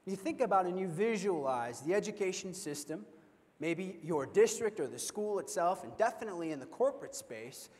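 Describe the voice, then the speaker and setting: A man in his thirties giving an energetic keynote talk on a large convention stage, speaking into a headset mic and acting out quoted reactions. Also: high-pitched, normal speed. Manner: animated, acts out quoted reactions, repeats words when excited. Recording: long hall reverb.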